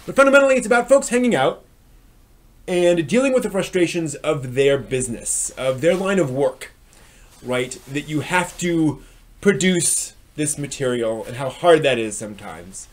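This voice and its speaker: Male live-stream reviewer, slightly high voice, halting and self-correcting, unscripted delivery. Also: expressive. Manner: halting, hedging, repeats and rephrases phrases; frequent throat clearing.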